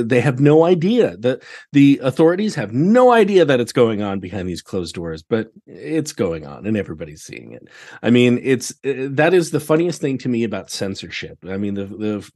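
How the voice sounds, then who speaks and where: A man chatting casually as a podcast guest, expressive in his storytelling, often restarting his sentences. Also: mid-range voice. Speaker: podcast guest, theatre director. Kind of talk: casual chat.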